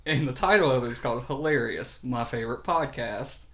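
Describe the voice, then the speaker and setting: A man's voice: medium pitch, a little fast, creaky, fairly bright tone. A man co-hosting a podcast in casual chat, telling stories animatedly and laughing often. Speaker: podcast co-host.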